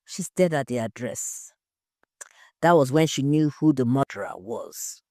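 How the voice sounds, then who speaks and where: A woman's voice: a bass voice, lively intonation, a little slow, darkish tone, dry recording, studio quality. A woman with a Nigerian accent narrating a scripted crime story as storyteller.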